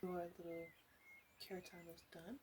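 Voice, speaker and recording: A woman playing a caring, teasing witch in a soft ASMR roleplay voice that slips into whispers. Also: fairly low pitch, completely flat delivery, a little hiss or hum, natural voice. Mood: playful, flirty. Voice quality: soft, breathy, slipping into whisper. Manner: gentle, unhurried, playfully teasing. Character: female witch, flirty, caring, teasing potion seller.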